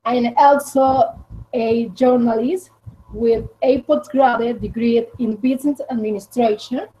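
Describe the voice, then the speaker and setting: Slow-talking Colombian woman in her twenties. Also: a little high, fairly even intonation, warm tone. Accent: Colombian Spanish. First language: Spanish. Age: 20s. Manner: halting non-native English with fillers and Spanish asides. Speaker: podcast guest. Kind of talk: casual interview answers. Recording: dull lo-fi audio.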